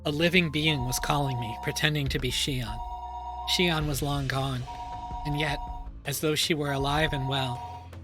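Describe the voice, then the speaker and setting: A man streaming a dramatic first-person reading of a story, pausing and repeating phrases for suspense. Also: on the high side, steady tone. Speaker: streamer reading a visual novel aloud. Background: music.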